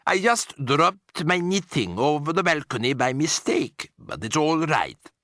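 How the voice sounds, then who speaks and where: A man voicing a calm elderly grandmother who speaks softly and slowly in a storybook reading. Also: a little high, gravelly, dry recording, clean studio sound, pitched above the performer's own voice, full character voice. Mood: tender. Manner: soft, tender, unhurried.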